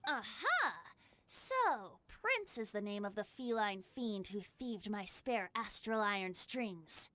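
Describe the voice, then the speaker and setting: Casting: whimsical boy bard woman actor, anime game, bright animated voice, florid and playful. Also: mid-range voice, takes it slowly, dry recording, heavily acted. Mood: playfully theatrical. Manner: theatrical, flowery, playful wording. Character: boyish, whimsical young male traveling bard. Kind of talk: anime video game voice acting.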